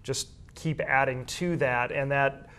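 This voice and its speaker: A man with a medium-pitched voice answers interview questions in detail. He explains things in a measured, conversational way, as a school sports information staffer and game broadcaster would. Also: fairly even intonation, fairly bright tone, smooth.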